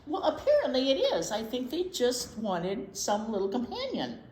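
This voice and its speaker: A woman's voice: on the high side, huge pitch swings, normal speed, darkish tone. A woman reading a picture book aloud as a children's storytime host, playfully exclaiming and commenting on the pictures as she goes. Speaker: children's librarian, storytime reader. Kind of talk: animated picture-book read-aloud with chatty asides. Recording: roomy echo with some background noise.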